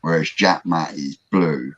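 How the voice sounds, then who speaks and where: A man's voice: extremely low pitch, fairly even intonation, a little slow, fairly bright tone, no room sound. A man hosting a casual livestream, explaining technical projects at length with many fillers and restarts, over a video call. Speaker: host. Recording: dull lo-fi audio.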